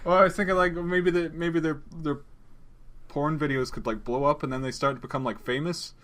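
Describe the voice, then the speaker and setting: A man co-hosting a podcast in casual, comedic brainstorming chat, excitable and given to swearing. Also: a little high, a little fast. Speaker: podcast co-host.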